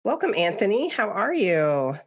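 A woman's voice: mid-range voice, big pitch swings, measured pace, breathy edge. A woman hosting a podcast, reading a guest introduction and asking friendly, encouraging questions. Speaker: podcast host, interviewer. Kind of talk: reading an introduction, then conversational interviewing.

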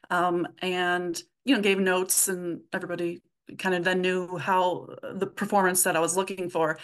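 A woman's voice: on the low side, a bit bright. A woman chatting informally and casually as a guest being interviewed. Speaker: podcast creator, interview guest.

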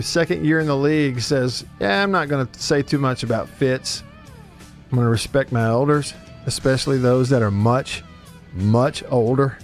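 A man hosting casual sports talk radio, chatty and joking as he reads out listener texts. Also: on the high side. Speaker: radio show host. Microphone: close studio radio microphone.

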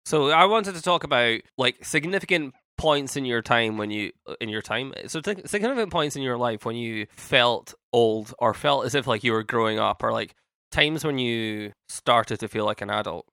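A man's voice: medium pitch, expressive, moderate pace, pushed and bright, dry recording, clean studio sound. A man, co-hosting a casual podcast chat and rambling through restarts and fillers. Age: late 20s. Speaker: podcast co-host.

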